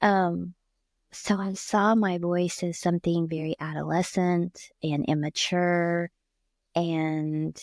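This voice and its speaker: A woman's voice: lowish voice, steady tone, takes it slowly, darkish tone, dry recording, studio quality. A woman hosting a conversational podcast interview, speaking in an effusive, affirming way. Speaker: podcast host.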